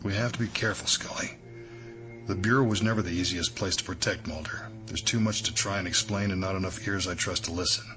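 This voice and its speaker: An actor playing a guarded, protective senior FBI man in an audio drama, speaking in a measured, questioning way. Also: low voice, fairly even intonation, music in the background, close to an everyday voice. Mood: wary, concerned.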